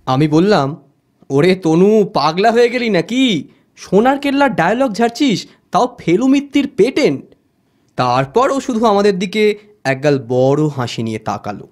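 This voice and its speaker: A man's voice: on the high side, very animated, normal speed. A man narrating an audio story expressively in the first person, as the detective's friend. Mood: wry.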